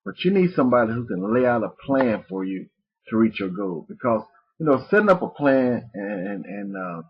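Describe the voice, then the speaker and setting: A man's voice: mid-range voice, sing-song, normal speed, slightly rough. A man from Mississippi, presenting a live webinar in a rambling, folksy way with restarts. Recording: muffled lo-fi webinar audio.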